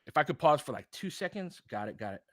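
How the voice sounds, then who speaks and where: A man's voice: on the high side, sing-song, quick pace, a bit bright, no echo. Man calling basketball, close dry sound. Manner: informal, conversational, speaking to viewers directly. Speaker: livestream host and play-by-play commentator. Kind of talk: casual live basketball commentary mixed with chat to viewers.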